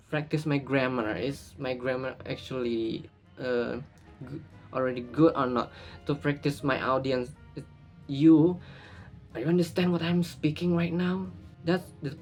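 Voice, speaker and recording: Man with an Indonesian accent, halting. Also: medium pitch, a little mellow. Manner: halting, frequent restarts and self-corrections. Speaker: YouTuber. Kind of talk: casual vlog talking to camera.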